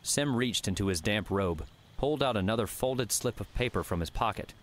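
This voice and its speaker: A man's voice: lowish voice, expressive, moderate pace. A man narrating an adventure novel as a scripted audiobook reading. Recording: faint background noise and ambience.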